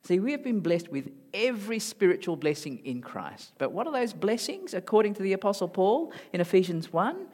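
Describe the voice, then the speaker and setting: A man from Malaysia with a mixed Malaysian, Singaporean and Australian accent, preaching a sermon emphatically and rising to loud high emphasis. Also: sing-song, a little fast, few pauses. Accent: mixed Malaysian, Singaporean and Australian English. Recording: hall reverb.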